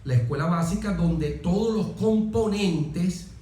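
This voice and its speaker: Echoey, mellow Venezuelan man telling his story. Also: high-pitched, measured pace. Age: middle-aged. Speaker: interview guest, military officer. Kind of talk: conversational personal storytelling. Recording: very echoey room.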